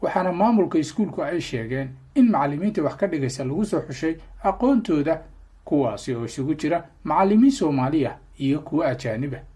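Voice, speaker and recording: A reporter reading scripted news narration in a measured, formal way. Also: mid-range voice, animated, warm tone, breathy edge.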